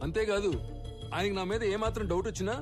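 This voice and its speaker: A man's voice: high-pitched, steady tone, fast talker, close to an everyday voice. An actor playing a wily, deferential policeman in a film drama, explaining himself in a wheedling way. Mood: earnest, confiding. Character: wily, deferential middle-ranking policeman, an adult man. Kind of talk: Telugu film drama dialogue. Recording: film soundtrack with loud music.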